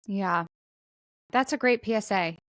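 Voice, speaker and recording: A woman's voice: mid-range voice, unhurried. A woman co-hosting a talk show in casual chat, with dry, teasing interjections. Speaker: podcast co-host.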